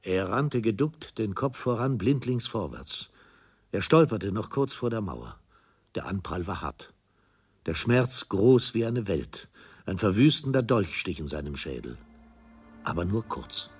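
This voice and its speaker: A man narrating a dark literary radio drama in a grave, measured and precise manner. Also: fairly low pitch, very animated, husky, exaggerated voice. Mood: grave, stark.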